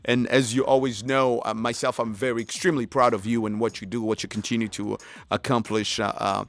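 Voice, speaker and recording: A man's voice: medium pitch, level tone, fairly quick, a bit bright. A man hosting a talk show, effusive and emphatic, often repeating his words. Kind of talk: conversational interviewing.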